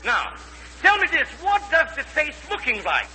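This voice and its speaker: High, fussy loan official. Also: male voice, huge pitch swings, moderate pace, full character voice. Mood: officious. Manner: mangled grammar, formal, over-polite. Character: odd-talking fussy loan company official, polite and officious. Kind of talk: 1940s radio comedy acting. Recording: old hissy, humming 1940s radio recording, boomy hall.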